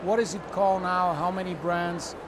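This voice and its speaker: A man's voice: high voice, little pitch movement, moderate pace. A man hosting an interview at a trade show, asking questions with frequent repeated words and restarts. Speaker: interview host. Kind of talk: conversational interview. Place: busy trade show floor. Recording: noisy trade show floor.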